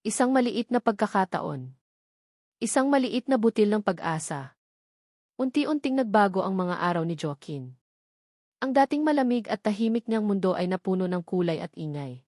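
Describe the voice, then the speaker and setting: A woman's voice: mid-range voice, animated, moderate pace, a little mellow, no room sound, studio quality. A woman with a Filipino accent reading a scripted romance story as its narrator.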